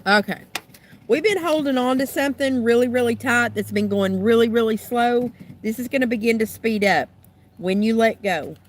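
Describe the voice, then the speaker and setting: A woman doing a tarot card reading, thinking aloud in musing, trailing phrases, her voice a little rough and raspy from being sick. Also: fairly high pitch, moderate pace, slight background noise. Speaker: tarot reader.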